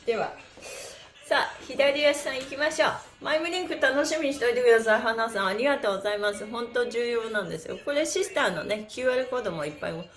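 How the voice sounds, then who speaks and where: High-pitched cheerful woman leading exercise, roomy sound. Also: fast talker, fairly bright tone. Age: middle-aged. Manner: cheerful, exclamatory, encouraging. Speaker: stretching instructor and pantomime performer. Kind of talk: livestreamed exercise lesson with chanted counting and chatty asides. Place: small bare room indoors. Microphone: camera mic a few steps away.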